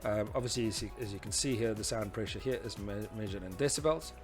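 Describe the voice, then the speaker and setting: A man's voice: on the low side, fast, music in the background. A man presenting an informal technical lesson, explaining step by step in a somewhat hesitant, conversational way. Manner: hesitant, explanatory, often saying "you know". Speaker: instructor presenting a tutorial series. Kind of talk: informal explanatory lecture talking through slides and diagrams.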